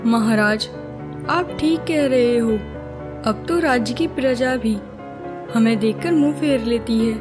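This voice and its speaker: A woman's voice: a little high, unhurried, pauses often, lightly acted. A woman playing a respectful, sorrowful queen in a cartoon moral story, speaking formally. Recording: music under the voice.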